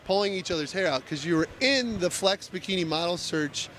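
Young male interviewer, chatty and teasing, higher-pitched, busy expo hall. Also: expressive, brisk, fairly bright tone, a little hiss or hum. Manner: teasing, chatty, laughs often. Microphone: handheld mic.

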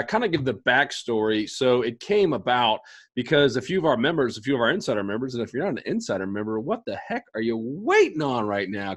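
A man in his thirties hosting an excited livestream pitch, hoarse from allergies, talking fast with lots of energy in a home office. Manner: energetic, gestures a lot. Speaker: host. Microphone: headset and studio mic.

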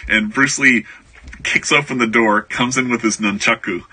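A man's voice: mid-range voice, fairly even intonation, normal speed, bright, forceful tone, husky. An American man talking as an author guest in a radio interview, telling anecdotes in a talkative way. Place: radio studio.

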